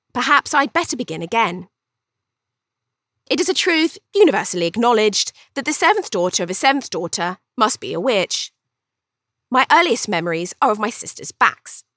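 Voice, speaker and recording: Woman doing a cheeky vain young regency english lady, bright voice, swoops about, arch gossipy audiobook storytelling. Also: medium pitch, no room sound, studio quality. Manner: arch, chatty, theatrical. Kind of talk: first-person audiobook storytelling.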